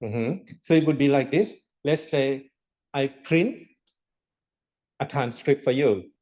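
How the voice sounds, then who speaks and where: A man's voice: mid-range voice, slow, darkish tone. A man lecturing a university class, explaining step by step with rhetorical questions and repetition. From Thailand.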